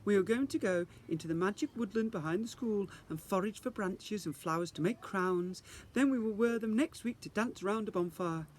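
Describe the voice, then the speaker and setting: A man's voice: high-pitched, normal speed, hardly any pauses, a little hiss or hum, pitched above the performer's own voice, lightly acted. A man voicing a fussy, strict fairy teacher in a children's storybook, with emphatic, theatrical instructions. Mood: brisk, eager.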